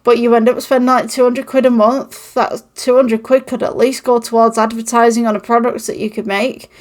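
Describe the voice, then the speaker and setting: A woman's voice: on the high side, level tone, brisk. A woman from the United Kingdom with a mixed, Manchester-tinged northern English accent, talking casually to camera with many ums, recorded on an old microphone with a phone. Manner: rambling and encouraging, many ums. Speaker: channel host. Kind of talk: casual podcast-style talk to camera.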